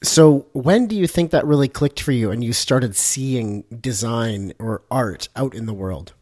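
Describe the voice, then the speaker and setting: Mid-pitched man hosting an interview. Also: animated, a little slow, darkish tone. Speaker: podcast host, interviewer. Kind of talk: casual interview, conversational questions.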